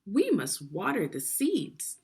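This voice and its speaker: Lady reading as eager hardworking little brother from a kids book, emphatic, slightly husky, very animated. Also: medium pitch, takes it slowly, pitched above the performer's own voice, full character voice. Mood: determined. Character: earnest, hardworking smallest brother in a children's tale.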